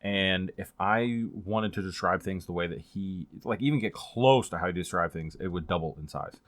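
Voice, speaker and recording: A man with a fairly low voice chats informally as a book reviewer. He rambles along conversationally and grows animated when he stresses a point. The sound is very dry, with hardly any room in it. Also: moderate pace, no room sound. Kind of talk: casual chatty book vlog.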